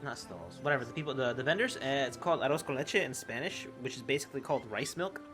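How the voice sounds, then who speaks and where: A game streamer giving casual, excitable and playful commentary on a playthrough. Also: mid-range voice, fast talker, music in the background.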